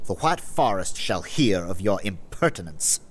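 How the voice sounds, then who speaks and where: An actor playing a haughty, indignant elf landholder in a fantasy audio drama, with a pompous manner. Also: male voice, low voice, big pitch swings, measured pace, full character voice. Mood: threatening, haughty.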